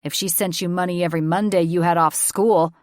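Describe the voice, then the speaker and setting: A woman's voice: deep voice, moderate pace, dry recording, studio quality, close to an everyday voice. A woman narrator voicing a cold, controlling, furious middle-aged father in an audiobook, with a menacing, accusing delivery. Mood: angry, accusing. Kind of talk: audiobook character voice.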